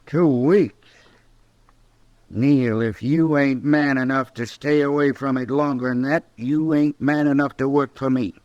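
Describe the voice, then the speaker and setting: An actor playing a hard, self-righteous American cattle rancher, stern and blunt, in an old-time radio western. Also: male voice, medium pitch, fairly even intonation, unhurried, darkish tone, dry recording, close to an everyday voice. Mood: cold, dismissive. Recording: dull old radio recording.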